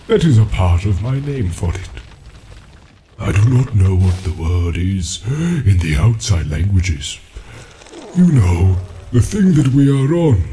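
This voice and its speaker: An ancient tree-giant, deep and rumbling,. He drags out every word at a slow, unhurried pace, humming and pausing as he muses. His pitch rolls widely from low rumbles to sudden high exclaims. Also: warm tone, slight background noise, full character voice. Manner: slow, musing, full of hums and pauses. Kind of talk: audiobook character reading.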